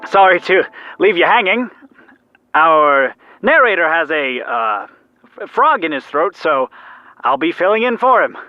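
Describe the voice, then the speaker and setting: A man's voice: a little high, sing-song, a little slow, no room sound, lo-fi sound. A man acting an anxious young radio narrator and peacemaker in an audio drama, earnest and dramatic. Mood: dramatic, narrating.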